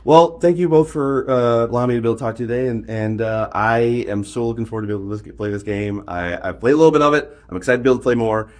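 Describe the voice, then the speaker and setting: A man doing a casual interview, excited and rambling, feeling his way haltingly through questions. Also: mid-range voice. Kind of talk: casual chat.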